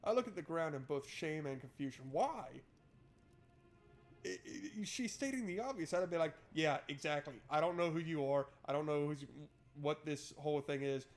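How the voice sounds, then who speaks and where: A man's voice: a little high, a little fast. A man doing a playful, wry let's play, switching between reading game text aloud and joking commentary. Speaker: gaming streamer.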